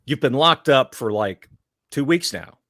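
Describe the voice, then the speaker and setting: A man with a Southern US accent co-hosting a podcast, talking in an animated, teasing way, swearing freely and laughing loudly. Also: mid-range voice, measured pace, no room sound, studio quality. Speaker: podcast co-host. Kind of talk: casual chat.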